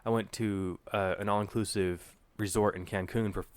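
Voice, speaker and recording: A young man with a slight cold and nasal voice, co-hosting a casual podcast chat, often halting and restarting his sentences. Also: fairly low pitch, steady tone, no echo. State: getting over a cold, a little nasal. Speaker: podcast co-host. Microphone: podcast microphone.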